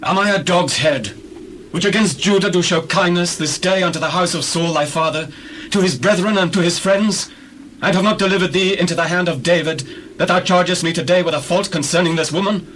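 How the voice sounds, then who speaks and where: Proud warrior commander for scripture drama, played by a man: high male voice, declamatory, noticeable background noise. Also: brisk, a bit bright, low-quality recording. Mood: indignant. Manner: declamatory, archaic scriptural speech. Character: proud, indignant ancient Israelite army commander.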